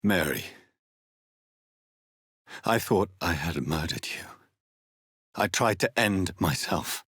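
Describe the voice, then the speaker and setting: A man playing a grave, courteous, troubled gentleman doctor turned vampire in a video game, speaking formally and earnestly with a husky voice. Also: deep voice, lively intonation, takes it slowly, pauses often, dry recording, studio quality, full character voice. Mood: sorrowful, troubled. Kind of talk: dramatic video game dialogue.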